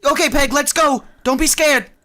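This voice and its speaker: A woman's voice: high-pitched, sharp tone, a little hiss or hum. A woman playing a jittery teenage boy. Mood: urgent, flustered. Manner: hurried, pushy and jittery. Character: nervous teenage boy on a first date. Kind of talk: amateur vintage radio play acting.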